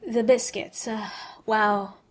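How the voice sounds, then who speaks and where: A woman's voice: mid-range voice, level tone, takes it slowly. A woman reading aloud as an earnest young male wizard, keeping a mild and pleading tone.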